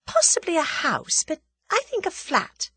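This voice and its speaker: Woman narrator playing young woman, high-pitched, lively swings, teasing, spirited, playful, storybook dialogue. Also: a little slow, dry recording, exaggerated voice. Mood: dreamy, bold. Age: young adult. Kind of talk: audiobook character dialogue.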